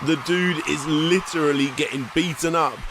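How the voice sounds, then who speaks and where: A man's voice: fairly high pitch, steady tone, normal speed, noisy background. A man delivering scripted documentary narration for a video essay, with wry asides. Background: music bed.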